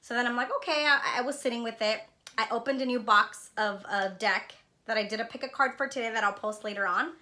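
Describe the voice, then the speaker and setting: Bright, higher-voiced woman. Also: a little fast. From born in Honduras, living in Los Angeles. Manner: earnest, reflective, often tags phrases with "right?". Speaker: spiritual vlogger and card reader. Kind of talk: casual heartfelt talk to viewers.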